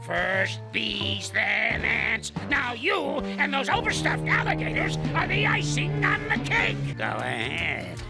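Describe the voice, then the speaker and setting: A man's voice: very high voice, huge pitch swings, slow, gravelly, few pauses, heavily acted. An actor voicing a grumpy, insulting old male ape in a cartoon series, crotchety and scolding. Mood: exasperated. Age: older. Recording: cartoon soundtrack with loud music.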